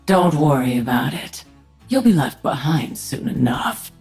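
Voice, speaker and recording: Low-voiced cocky assassin queen. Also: sing-song, a little slow, darkish tone, faint music behind, exaggerated voice. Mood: scornful. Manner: dry, taunting. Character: cocky, sardonic female psychic assassin turned alien queen. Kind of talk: video game voice acting.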